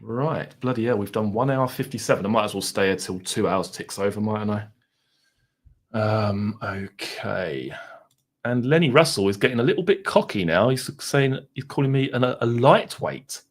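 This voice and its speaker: A man's voice: on the low side, expressive, moderate pace. Chatty man with an Essex accent. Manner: rambling, chatty, reads out viewer messages; mild swearing, laid-back banter. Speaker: live stream host. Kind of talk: casual live stream chat with viewers.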